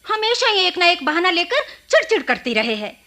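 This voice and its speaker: A woman's voice: very animated, moderate pace, pushed and bright, exaggerated voice. An actress playing an indignant, scolding mother-in-law who dotes on her son, in a radio drama. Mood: indignant, scornful. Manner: shrill, indignant scolding with exclamations. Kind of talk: Hindi radio drama acting.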